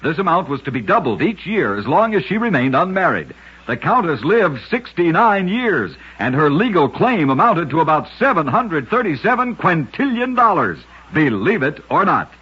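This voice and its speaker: Husky, animated male radio storyteller. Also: fairly high pitch, moderate pace. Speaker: radio host narrator. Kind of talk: scripted narration of short oddity stories. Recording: muffled vintage radio recording.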